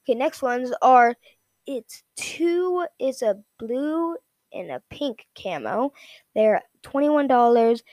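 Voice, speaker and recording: A woman with a fairly high voice talks through products off the cuff. Her delivery is informal and halting, and she often repeats herself. The sound is close and dry. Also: big pitch swings, darkish tone, no echo. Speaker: product reviewer. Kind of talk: casual, unscripted product rundown.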